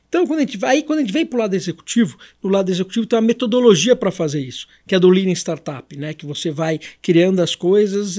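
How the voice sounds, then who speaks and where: High-pitched Brazilian man explaining business. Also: darkish tone. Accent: Brazilian Portuguese. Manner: didactic, repetitive, asks and answers own questions. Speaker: podcast guest.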